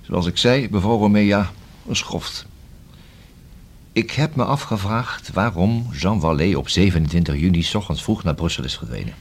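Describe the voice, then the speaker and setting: A police inspector in a radio drama. His voice is very low and somewhat raspy, with animated rises and falls. He is courteous yet blunt, laying out his case deliberately. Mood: pointed, insistent. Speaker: actor in a radio play. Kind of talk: Dutch radio drama dialogue.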